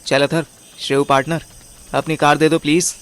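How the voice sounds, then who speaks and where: A man's voice: a little high, flat delivery, a little fast, smooth, lightly acted. A man playing a bossy, irritable young detective boss in an audio drama, slangy and impatient, mixing in English words. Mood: brisk, instructing. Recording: music under the voice.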